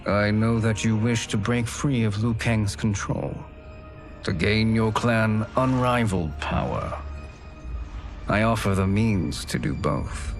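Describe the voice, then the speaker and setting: A man playing a smooth-talking, calculating villain in a video game cutscene, formal and self-assured. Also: fairly low pitch, slow, faint music behind. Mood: smoothly persuasive.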